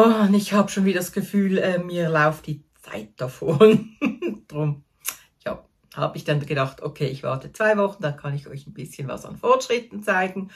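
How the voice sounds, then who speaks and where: A Swiss German woman in her fifties talks casually to the camera from her craft corner at home. Her voice sits a little low, with a husky, gravelly edge. She rambles cheerfully and pokes fun at her own slips. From Switzerland. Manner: chatty, self-deprecating, restarts and fillers, laughs at herself. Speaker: knitting vlogger.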